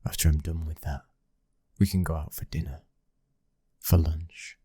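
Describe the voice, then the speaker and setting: A British man playing a commanding young mafia heir in an intimate ASMR roleplay, speaking in a measured, breathy voice that often drops toward a whisper. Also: extremely low pitch, steady tone, dark tone, dry recording, heavily acted. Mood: firm, serious. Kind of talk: ASMR boyfriend roleplay, scripted acting.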